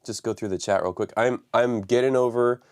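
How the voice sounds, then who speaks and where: A man hosting a live stream from his home studio, raspy from the flu and talking loosely with many fillers. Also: mid-range voice, fairly even intonation, fairly quick, a little mellow. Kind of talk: casual live stream talk.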